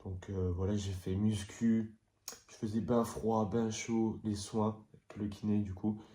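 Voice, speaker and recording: A young man with a cold talks in a low, flat, mellow voice, rambling through loose explanations. His voice rings around an echoey room. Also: measured pace. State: has a cold. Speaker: vlogger and player. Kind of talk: casual vlog chat.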